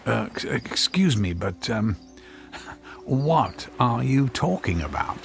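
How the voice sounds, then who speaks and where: An actor playing a baffled, wary husband who speaks hesitantly and stammers, in a radio drama. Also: male voice, medium pitch, very animated, slow pace, vocal fry, soft background music, heavily acted. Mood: awkward, puzzled. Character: baffled, wary husband confronted by a strange woman.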